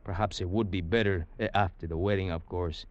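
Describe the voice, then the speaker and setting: Man acting deep-voiced sly cafe owner. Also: fairly even intonation, a little fast, dull audio, natural voice. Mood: genial, amused. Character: Tio, genial sly Cuban cafe owner, a reformed rogue. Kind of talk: 1940s radio drama acting.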